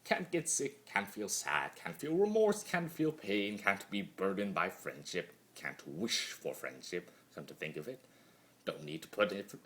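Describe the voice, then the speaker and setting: A man's voice: deep voice, very animated, measured pace, a bit bright, full character voice. A man voicing a mad, immortal chaos spirit in a theatrical dramatic monologue, torn between glee and brooding. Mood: hushed brooding. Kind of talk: dramatic fan-fiction monologue reading.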